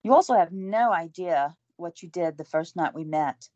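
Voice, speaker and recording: A woman's voice: lowish voice, lively intonation, normal speed, darkish tone, dry recording, lightly acted. An actress playing an earnest young woman reporter who is also an army medic, asking questions. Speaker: interviewer. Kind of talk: audio drama acting. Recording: dull lo-fi recording.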